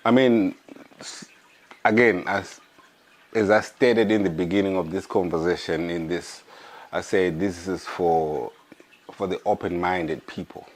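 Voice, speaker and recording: Podcast host, hoarse, very low voice, slow and searching. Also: male voice, fairly even intonation. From Africa. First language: an African language. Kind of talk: casual monologue.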